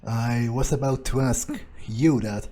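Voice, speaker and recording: A man's voice: mid-range voice, steady tone, measured pace, dark tone. A man giving casual in-character gameplay commentary in a dry, understated, musing way. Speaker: gamer playing in character.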